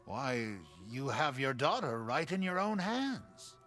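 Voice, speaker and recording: A slow, swooping, genial merchant. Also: male voice, mid-range voice, very animated, fairly bright tone, soft background music. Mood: knowing, calm. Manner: courtly, savoring, theatrical. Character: genial, unhurried, enigmatic male merchant, theatrically polite. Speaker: game shopkeeper. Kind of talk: theatrical video game voice acting.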